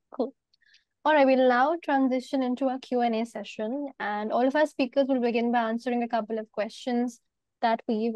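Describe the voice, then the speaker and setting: A woman's voice: on the high side, darkish tone, dry recording. A woman moderating a webinar over a video call, giving orderly instructions to the audience. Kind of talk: scripted-sounding event moderation.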